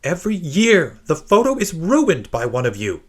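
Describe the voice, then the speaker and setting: A man's voice: fairly high pitch, wildly swooping pitch, moderate pace, pitched above the performer's own voice. A man voicing a stern, exasperated mother who lays down rules in an emphatic, scolding way.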